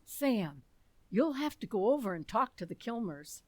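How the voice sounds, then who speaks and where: Woman playing an insistent, animated wife. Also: on the high side, normal speed, no echo. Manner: coaxing, insistent. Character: insistent, reproachful wife and mother Alice. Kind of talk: radio comedy stage acting.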